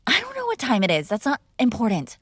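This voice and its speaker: A woman's voice: fairly high pitch, wildly swooping pitch, quick pace, fairly bright tone, slightly rough, dry recording. Exasperated young woman, dry close voice. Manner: exasperated, sarcastic and defiant. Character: bratty mob boss's daughter arguing with her father. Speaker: audio roleplay performer. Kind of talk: scripted ASMR girlfriend roleplay.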